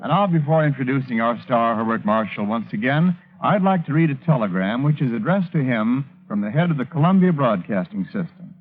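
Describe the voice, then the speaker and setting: A man's voice: mid-range voice, animated, a little fast. Formal male announcer on tinny old radio. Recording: phone-like vintage radio recording, music.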